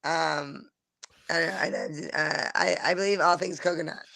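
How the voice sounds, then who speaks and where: A woman comedian talks in a gravelly voice on a podcast. She stumbles through stories with many restarts and acts out the quotes herself. The sound is dry and close. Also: sits low, steady tone, unhurried, bright, forceful tone, dry recording. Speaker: stand-up comedian guest. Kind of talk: casual podcast chat.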